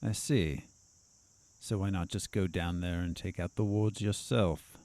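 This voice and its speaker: A man playing a haughty, vengeful doctor in an audio drama, with a disdainful, theatrical, deliberate delivery. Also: low voice, fairly even intonation, darkish tone, no echo. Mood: probing. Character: haughty, vengeful male doctor, a sinister villain.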